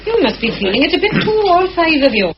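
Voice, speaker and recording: Motherly, chatty housekeeper for radio drama; animated intonation, muffled hissy old recording. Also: high voice, quick pace, over loud music. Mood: sympathetic. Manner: homely, fussing, chatty. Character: motherly, bustling housekeeper, kindly and talkative. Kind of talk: vintage radio play acting.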